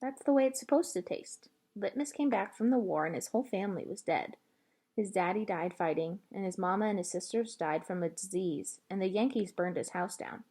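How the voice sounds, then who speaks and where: Woman as an earnest young girl, animated. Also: medium pitch, fairly quick. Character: earnest, plain-spoken young girl, eager and stubborn.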